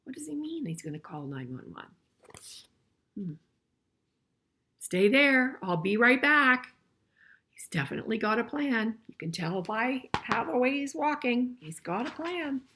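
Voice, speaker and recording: An older woman reading a picture book aloud to children in an expressive way, pausing to chat and ask them questions. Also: fairly high pitch, slightly rough. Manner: expressive, asking listeners questions as she goes. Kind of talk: children's storybook read-aloud with conversational asides and questions.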